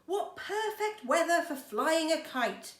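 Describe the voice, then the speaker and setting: A woman's voice: extremely high pitch, expressive, slow pace, far from the mic, voice pushed up, full character voice. A woman reading a children's storybook, voicing a proud, self-satisfied little man who boasts with emphasis. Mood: eager. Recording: roomy echo with background noise.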